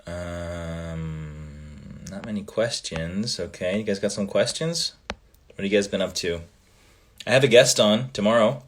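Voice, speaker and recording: Canadian guy, italian first language, deep voice, laid back live chat with lots of ums. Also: unhurried. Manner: relaxed, trailing off with frequent ums. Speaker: livestream host. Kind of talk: casual livestream chat reading fan comments.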